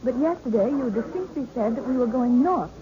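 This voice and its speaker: A woman playing a professor's spirited young daughter on expedition, pert and expressive, with a raspy voice, in old radio drama style. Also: on the high side, fairly quick, natural voice. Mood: pert, weary. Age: young adult. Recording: muffled old radio recording.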